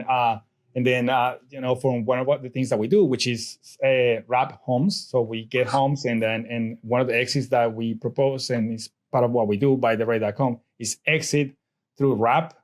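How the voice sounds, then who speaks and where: Colombian-accented man chatting loosely. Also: mid-range voice. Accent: Colombian Spanish. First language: Spanish. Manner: rambling, many restarts and fillers. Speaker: guest, real estate investor. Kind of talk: casual interview chat.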